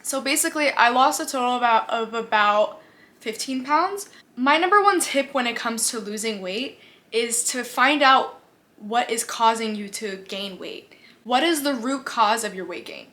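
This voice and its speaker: A woman's voice: fairly high pitch, expressive, normal speed, sharp tone. A woman in her twenties from the United States, chatting casually to her camera in a question-and-answer vlog, upbeat, laughing easily and often saying "like". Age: 20s. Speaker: vlogger. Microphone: camera mic, talking to camera.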